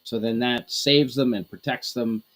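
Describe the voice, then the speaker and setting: A man's voice: medium pitch, steady tone, unhurried, a bit bright. A man from Canada chatting on a podcast in a rambling, hesitant way, with lots of restarts and fillers. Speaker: podcast co-host. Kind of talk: casual group podcast chat.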